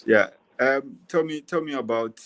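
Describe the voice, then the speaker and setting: A man's voice: mid-range voice, measured pace, fairly bright tone, low-quality recording. A man with a Ghanaian accent, asking conversational questions as a sports interviewer, often repeating phrases as he forms them.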